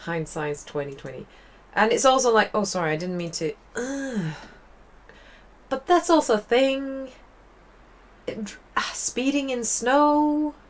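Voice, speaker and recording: A woman's voice: on the high side, animated, a little slow, pauses often. A young woman with a Swedish accent, casually commenting while playing a game, chatty and rambling with wry asides. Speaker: let's play host.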